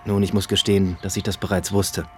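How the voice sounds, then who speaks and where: An actor playing a composed, probing police inspector in a radio drama, with a dry, polite manner. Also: male voice, deep voice, level tone, a little fast, faint music behind, close to an everyday voice. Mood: casual, sly.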